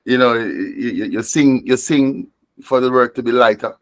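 A man's voice: medium pitch, moderate pace, no echo. A Jamaican man, a reggae artist, talking reflectively in an interview, often restarting and repeating words as he gathers his thoughts. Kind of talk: conversational interview.

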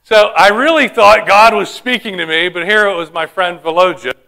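A high-pitched man preaches with a bright, forceful tone. He tells stories and asks the congregation questions as he teaches. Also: moderate pace, gravelly, rough recording. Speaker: pastor. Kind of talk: sermon.

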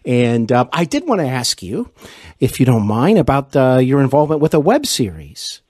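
A man hosts an interview in a conversational style, with a medium-pitched voice. He is polite and complimentary, feeling his way through his phrases. The sound is very dry, with no audible room. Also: huge pitch swings, moderate pace, darkish tone, no echo. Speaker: interview host.

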